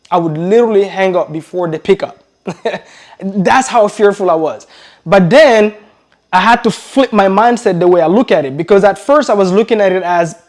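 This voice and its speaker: A man in his thirties who grew up in Belgium and learned English later. He coaches real estate newcomers in an energetic, repetitive style and keeps calling his viewers "guys.". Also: high voice. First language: not English. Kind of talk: motivational talk to camera.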